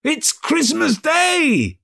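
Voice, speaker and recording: A man voicing a giddy, overjoyed old miser reformed overnight, excitable, breathless and full of exclamations, in a storybook reading. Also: extremely high pitch, drawn-out words, creaky, voice pushed up, heavily acted. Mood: excited.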